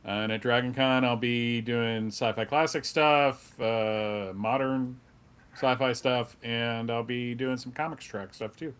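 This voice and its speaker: A man's voice: mid-range voice, fairly even intonation, unhurried, a bit bright, clean tone. A man speaking casually as a panelist and podcaster. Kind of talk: casual chat.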